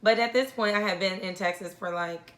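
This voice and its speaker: Woman, podcast co-host, bright voice, laughs often, echoey room. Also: medium pitch, a little fast. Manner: laughs often, drops softer when reflecting. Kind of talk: casual storytelling chat. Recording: roomy echo.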